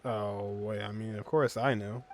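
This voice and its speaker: A man's voice: lowish voice, fairly even intonation, unhurried. A young man casually commenting while playing a video game, chatty with joking asides. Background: faint game music.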